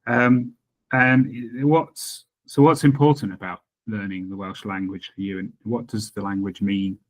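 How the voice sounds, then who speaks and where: Low-voiced male interviewer. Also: steady tone, rough recording. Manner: halting, stumbling starts. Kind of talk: interview questions.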